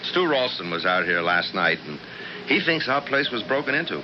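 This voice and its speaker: An actor playing a stubborn, dismissive American husband in a 1970s radio drama, insistent in manner. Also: male voice, fairly low pitch, big pitch swings, moderate pace. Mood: impatient, determined. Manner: insistent, brushing off objections. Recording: muffled, noisy 1970s radio recording.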